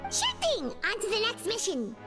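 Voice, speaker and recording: A woman voicing a tiny, excitable, childlike fairy sidekick in a video game, shrill and exclaiming. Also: moderate pace, over loud music, full character voice. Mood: cheerful. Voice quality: shrill, squeaky. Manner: speaks of herself in third person, exclaiming. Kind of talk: scripted game voice acting.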